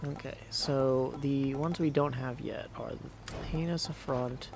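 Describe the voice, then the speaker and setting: A man's voice: medium pitch, fairly even intonation, unhurried, background noise. A man casually commentating on a game as a livestreamer, thinking aloud and reading bits of on-screen text.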